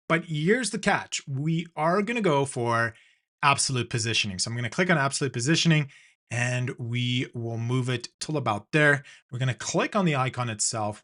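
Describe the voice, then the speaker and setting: A man's voice: mid-range voice, fairly bright tone. A man from South Africa narrating a screen-recorded design tutorial, talking casually and walking through each step with the odd filler.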